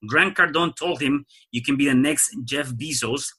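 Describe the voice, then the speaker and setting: A man's voice: medium pitch, normal speed, sharp tone, slightly rough. A man hosting a podcast interview, upbeat, often repeating words as he starts a thought. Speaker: podcast host.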